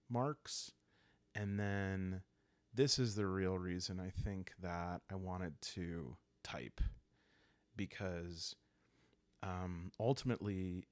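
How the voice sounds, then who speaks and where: Calm soft spoken guy hosting a sleepy solo rpg thing, deep croaky voice, lots of thinking pauses, really dry sound. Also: dry recording. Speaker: host of a solo roleplaying game series. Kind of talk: calm, rambling sleep-aid game walkthrough.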